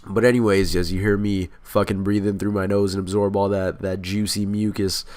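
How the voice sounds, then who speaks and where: A man's voice: fairly low pitch, level tone, moderate pace, a little mellow, no room sound. A congested, sick man talks in casual, rambling, profane gaming commentary, speaking inches from the microphone. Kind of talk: casual commentary over gameplay. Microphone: close mic, inches away.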